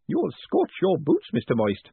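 A man's voice: fairly high pitch, huge pitch swings, moderate pace, vocal fry, husky, no room sound, voice pushed up, heavily acted. A man voicing a kindly, enthusiastic print-shop owner who talks in an eager, bumbling, polite way. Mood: bemused. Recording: tinny phone-like sound, dry.

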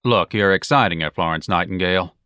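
A man's voice: sits low, brisk, pushed and bright, clear, resonant tone, dry recording, studio quality. A man voicing an irritable, sarcastic husband who speaks bluntly, in an audiobook story. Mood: irritated. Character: gruff, exasperated, sarcastic husband.

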